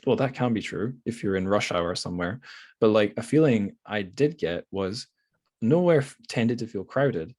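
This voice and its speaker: A man's voice: on the low side, expressive, moderate pace, a little mellow. A man hosting a casual literary interview, thinking aloud in a hesitant, rambling way. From Scotland. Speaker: podcast host.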